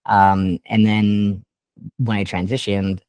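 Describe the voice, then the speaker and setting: A man with a lowish voice talks casually as an interview guest. He is animated, his pitch leaps upward when he gets excited, and he laughs often. The sound is close and dry, with no room around it. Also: slow, no room sound. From Canada. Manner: trained classical singer. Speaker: guest musician.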